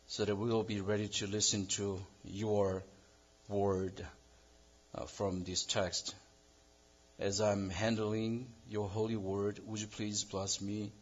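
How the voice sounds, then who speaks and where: A man from South Korea with a Korean accent, preaching a sermon as pastor in an earnest, measured way with halting restarts. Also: on the low side. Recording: dull lo-fi sound.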